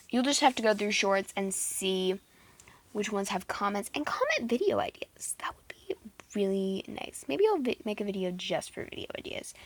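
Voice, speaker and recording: A teenage girl vlogging in a casual, chatty way, giggling and saying "like" a lot, her voice going a bit hoarse. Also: mid-range voice, fairly bright tone. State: losing her voice. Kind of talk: casual chat to camera.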